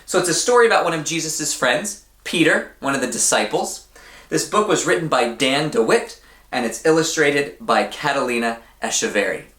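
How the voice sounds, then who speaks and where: A man reading a children's story aloud in an expressive, deliberate way, often putting questions to his young listeners. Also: medium pitch, reverberant. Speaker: church storybook reader for children.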